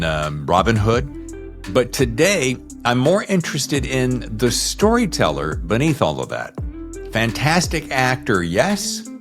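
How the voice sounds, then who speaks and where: An American man with a deep, bassy voice, hosting a podcast in an effusive, emphatic and jokey way. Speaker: podcast host. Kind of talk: enthusiastic podcast intro and casual interview chat.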